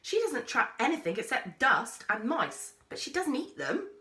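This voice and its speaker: Woman reading as a prickly sceptical girl, animated. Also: high voice, normal speed, pitched above the performer's own voice, full character voice. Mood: incredulous. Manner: sceptical, protesting. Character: prickly, sceptical young girl, sharp-tongued. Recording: roomy echo, distant microphone.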